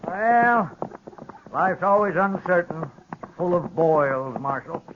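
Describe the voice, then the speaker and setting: A man's voice: sits high, animated, slow pace, noisy background. An actor playing a stubborn old rancher father with a folksy Western drawl in a 1950s radio western. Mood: folksy, weary. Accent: American Western drawl. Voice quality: folksy rural speech. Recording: dull old radio recording.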